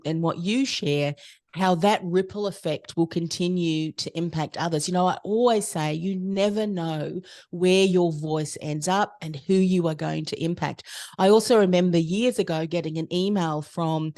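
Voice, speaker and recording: Aussie woman guest, business coach, pretty low voice, talks in long easy stories. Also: unhurried, a little mellow. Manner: fluent, expansive storytelling. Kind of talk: casual interview chat.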